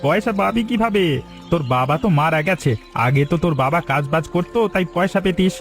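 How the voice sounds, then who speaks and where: A man's voice: high-pitched, lively intonation, a little fast, soft background music. A man voicing a sly tempter in a village cartoon, coaxing and persuading like one luring youths into shady work. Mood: goading. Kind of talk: melodramatic cartoon voice acting.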